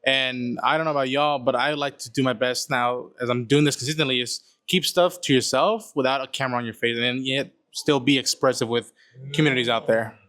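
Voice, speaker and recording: A man's voice: mid-range voice, fairly even intonation, a little fast, fairly bright tone. Reflective man searching for words. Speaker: content creator, panel guest. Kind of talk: casual panel chat.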